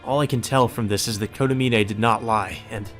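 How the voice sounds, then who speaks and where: A man's voice: lowish voice, steady tone, fairly quick. A man reading scripted story narration aloud for a visual novel playthrough. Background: music.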